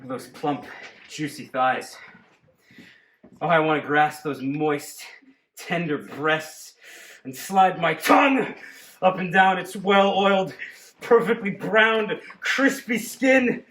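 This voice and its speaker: A man's voice: fairly high pitch, slow, a bit bright. A man playing a whiny lustful groom. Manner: melodramatic, whiny. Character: bossy lustful male groom. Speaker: actor in a comedy skit. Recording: echoey room, faint noise.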